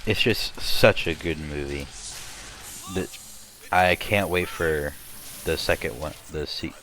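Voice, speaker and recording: A young man with a slightly raspy voice, chatting casually and rambling while commenting on his gameplay. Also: extremely low pitch, slow pace, a bit bright, low-quality recording. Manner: rambling, chatty, many restarts and fillers. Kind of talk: casual let's-play chat.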